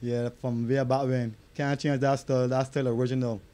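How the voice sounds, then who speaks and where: A man's voice: medium pitch, little pitch movement, fairly quick. A Barbadian man, an artist, chatting loosely in dialect in an interview. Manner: loose, streetwise, grows loud and hyped. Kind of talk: casual interview answers.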